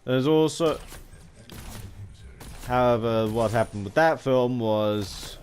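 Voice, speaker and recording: A British man giving a rambling video game commentary, haltingly, often repeating and rephrasing his phrases as he goes. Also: mid-range voice, darkish tone, slight background noise.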